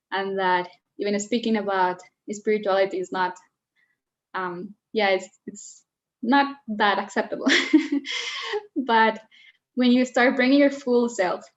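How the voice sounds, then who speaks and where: A woman from Colombia speaks as an interview guest in an earnest, meandering way, full of fillers and self-corrections. Her pitch sits in an ordinary middle range. The audio is a little dull and lo-fi. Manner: earnest, filler-heavy with frequent "like" and restarts. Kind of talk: casual interview chat.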